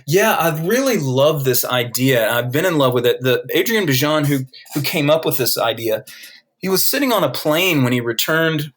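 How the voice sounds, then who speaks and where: A man's voice: on the high side, lively intonation, moderate pace, fairly bright tone. A man with a North Carolina accent, a guest author explaining his ideas in a podcast interview, thoughtful and full of restarts.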